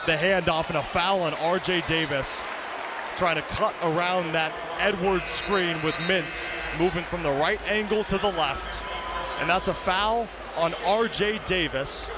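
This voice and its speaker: Young high-pitched male sports broadcaster. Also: a little slow, a loud crowd, very noisy. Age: young adult. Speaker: sports broadcaster, play-by-play announcer and anchor. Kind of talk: sports commentary and presenting.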